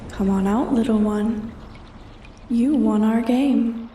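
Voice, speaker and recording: Slow, echoing, menacing dragoness, acted by a woman. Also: on the high side, dark tone, recorded from a distance, a little hiss or hum. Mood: menacing, taunting. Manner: taunting, imperious, slow and deliberate. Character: bored, menacing female dragon toying with a human thief. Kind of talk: roleplay ASMR, scripted character acting.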